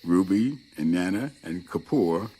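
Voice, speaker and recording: A man's voice: low voice, lively intonation, a little slow, dark tone, rough recording. A man playing an amused, cryptic otherworldly sage in a radio play, giving terse, knowing answers.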